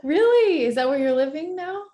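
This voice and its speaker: Mellow-voiced female host, gushing and warm in tone. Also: sits high, lively intonation, normal speed. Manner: effusive, repeats words. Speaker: interview host, art school founder. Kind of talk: casual interview.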